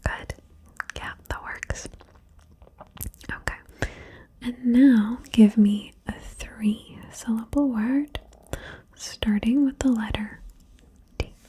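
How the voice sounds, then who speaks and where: A woman whispering slowly and softly, coaxing and encouraging the listener through a word-game ASMR session. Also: a little high, husky. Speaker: ASMR host guiding the listener.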